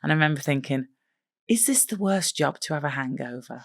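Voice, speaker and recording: A woman's voice: low-pitched, expressive, brisk, vocal fry, no room sound, studio quality. A middle-aged British woman co-hosting a podcast, telling jokey, self-deprecating anecdotes in casual conversation. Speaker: podcast co-host. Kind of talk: casual chat, humorous anecdotes.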